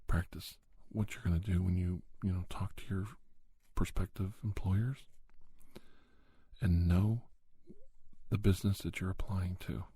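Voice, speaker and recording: A middle-aged man giving soft-spoken, encouraging, folksy advice in an ASMR role-play, often slipping into a whisper. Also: deep voice, steady tone, unhurried, breathy edge, no echo. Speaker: podcast host playing an advice-giving doctor. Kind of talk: ASMR, one-sided role-play conversation.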